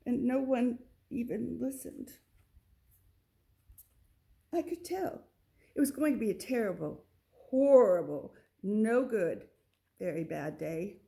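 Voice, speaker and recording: A woman reading a children's picture book aloud, with expressive delivery and little asides to the listener. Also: fairly high pitch, slow pace, vocal fry, long pauses. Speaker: storybook reader.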